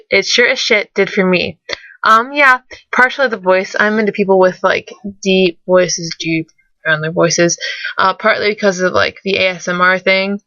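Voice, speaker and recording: A woman's voice: fairly low pitch, fairly bright tone, no echo. Sick young woman rambling on a webcam. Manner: rambling, self-deprecating, many fillers and restarts. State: sick, voice rougher than usual. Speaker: vlogger. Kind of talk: casual webcam Q&A. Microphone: webcam mic. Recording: dull lo-fi webcam audio.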